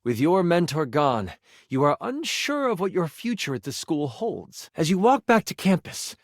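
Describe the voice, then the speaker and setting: An earnest, nerdy game master in a video game. The voice is fairly high and lively, theatrical in delivery, with a dry, close sound. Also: male voice, no room sound. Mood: dramatic. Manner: theatrical, earnest, awkwardly gushing. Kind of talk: dramatic tabletop game narration and chat.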